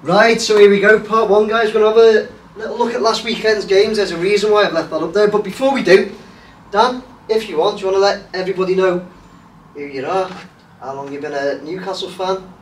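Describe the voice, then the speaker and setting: A man's voice: high voice, brisk. A man hosting a casual football chat show, rambling and hesitant with lots of fillers. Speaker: host. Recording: roomy, distant mic, faint hiss.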